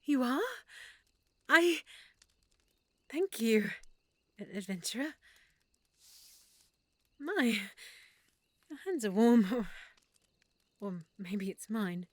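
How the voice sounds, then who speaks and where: A woman's voice: a little high, animated, drawn-out words, long pauses, dry recording, exaggerated voice. A woman playing a shy half-elf shopkeeper. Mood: shy, tender. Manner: stammering, soft and nervous. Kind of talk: audio roleplay acting.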